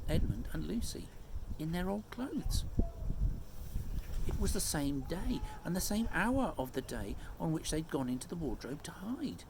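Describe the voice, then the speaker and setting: A man reading a children's storybook aloud as expressive narration. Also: on the high side, creaky, noisy background.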